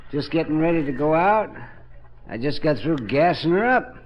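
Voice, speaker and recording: A man's voice: fairly high pitch, animated, moderate pace, close to an everyday voice. An actor playing a folksy old man who tends a boat dock in a 1950s radio drama. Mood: easygoing, puzzled. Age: older. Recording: noisy, muffled old radio recording.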